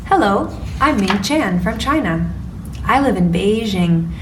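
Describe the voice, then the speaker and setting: A woman's voice: medium pitch, lively intonation, unhurried, close to an everyday voice. Woman reader as a brave young boy, storybook; distant mic, background noise. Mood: proud.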